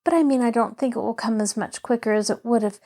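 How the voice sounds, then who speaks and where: A woman's voice: fairly high pitch, fast talker, warm tone. A woman voicing a cheerful, earnest little girl in a storybook, chattering on in an eager, artless, rambling way. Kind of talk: children's storybook character voice.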